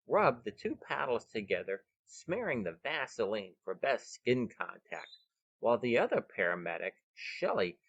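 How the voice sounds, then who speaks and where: A man's voice: on the low side, fairly bright tone, no room sound, dull audio. A man hosting and narrating a scripted short story podcast with dramatic, emphatic delivery, recorded outdoors on a veranda. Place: veranda of a bed and breakfast, outdoors.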